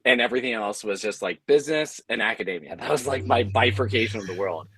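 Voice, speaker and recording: A man with a fairly low voice talks as a panelist. He is analytical and conversational, halting and restarting as he builds an idea, in a dry-sounding recording. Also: expressive, a bit bright, husky, no room sound. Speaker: panelist, audio drama creator.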